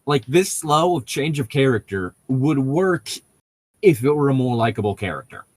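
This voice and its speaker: A man chatting casually as a co-host, critiquing in an exasperated, rambling way with halting rephrasing. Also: medium pitch, animated, dry recording. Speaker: co-host reading and mocking a novel. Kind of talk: casual critical chat with read-aloud lines.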